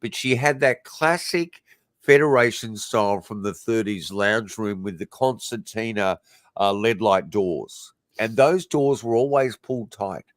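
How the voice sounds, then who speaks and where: A man hosting a casual talk show, telling stories in a lively way. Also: on the low side, measured pace, no room sound. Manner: animated storytelling. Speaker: host. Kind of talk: casual chat.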